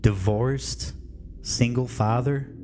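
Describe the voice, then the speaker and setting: A man's voice: mid-range voice, fairly even intonation, slow, mellow, slight background noise, voice pushed up, natural voice. A man playing a mocking, chatty inner-self narrator in an audio horror story, confiding and sardonic. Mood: wry, mocking.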